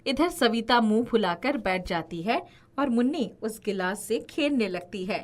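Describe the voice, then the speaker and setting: A woman's voice: on the high side, lively intonation, measured pace. A woman narrating a scripted children's story as the narrator.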